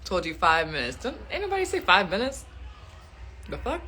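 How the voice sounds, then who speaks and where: Bright-voiced young woman chatting on a live stream. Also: medium pitch, huge pitch swings, moderate pace, slight background noise. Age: 20s. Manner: chatty, laughs often, reads out viewer questions. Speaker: live stream host answering fans. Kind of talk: casual live stream chat. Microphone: phone.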